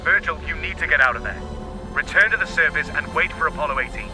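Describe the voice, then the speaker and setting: Man as mission control officer, fairly high male voice, stern, urgent, commanding, audio drama, music behind. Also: animated, fast, close to an everyday voice. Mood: worried, commanding.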